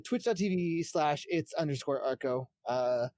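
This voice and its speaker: A man's voice: on the high side, unhurried, darkish tone. A man chatting informally as a podcast guest and game streamer. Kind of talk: casual chat.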